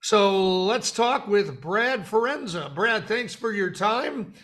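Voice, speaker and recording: A man's voice: high-pitched, expressive, slow pace, fairly bright tone. A middle-aged man hosting a podcast, reading offbeat news items and introducing guests in conversational banter. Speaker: podcast host.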